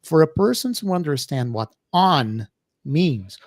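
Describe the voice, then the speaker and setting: A middle-aged Brazilian professor with a Brazilian Portuguese accent, co-hosting an educational podcast in a hesitant, filler-heavy manner. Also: male voice, fairly high pitch, big pitch swings, measured pace, dry recording, clean studio sound. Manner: hesitant, many fillers and restarts. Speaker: co-host, professor. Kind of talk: casual educational podcast chat.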